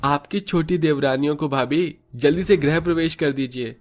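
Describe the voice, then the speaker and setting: A man's voice: fairly high pitch, moderate pace, natural voice. A man voicing a young modern newlywed son in a moral-story cartoon, breezy and familiar in manner. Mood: cheerful.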